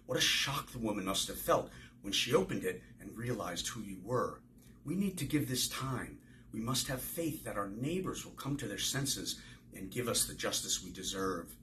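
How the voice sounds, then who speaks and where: A man reading as placating baker, fairly low. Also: animated, normal speed, a bit bright, slight background noise, poor audio. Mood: earnest, soothing. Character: hopeful, placating, gentle male baker.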